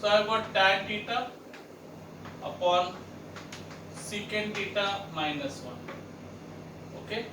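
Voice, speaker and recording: A man's voice: high-pitched, slow pace, pauses often, far from the mic. A man teaching a math lesson, walking students through each step with repeated questions. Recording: echoey room, voice set back from the mic, background noise.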